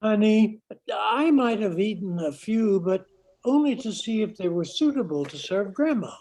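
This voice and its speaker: A woman playing an easygoing father in his thirties with a husky voice, in an amateur radio play. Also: medium pitch, lively intonation, normal speed, warm tone, lightly acted, low-quality recording. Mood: sheepish.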